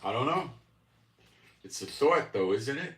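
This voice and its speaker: A man's voice: mid-range voice, expressive, a little slow, fairly bright tone. A man who grew up in America, hosting a casual live stream and musing aloud in short, broken phrases. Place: home room in Israel. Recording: echoey room, far from mic.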